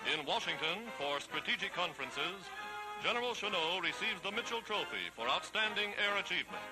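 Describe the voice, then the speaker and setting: A man's voice: sits high, sing-song, brisk, music in the background, poor audio. A man with an American accent narrating a 1940s newsreel in a formal, declamatory, emphatic manner on a vintage soundtrack.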